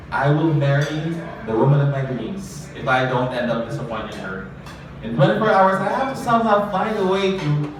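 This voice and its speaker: A man performing spoken word poetry live, wry and anxious, with swearing and repeated refrains. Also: a little high. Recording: roomy echo, far from mic, ambient noise.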